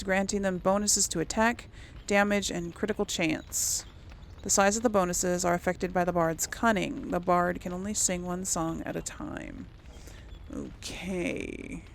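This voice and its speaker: Female playthrough host: mid-pitched, gravelly edge, hesitant thinking-aloud delivery, slight noise on a home recording. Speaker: gaming video host. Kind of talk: casual game playthrough commentary.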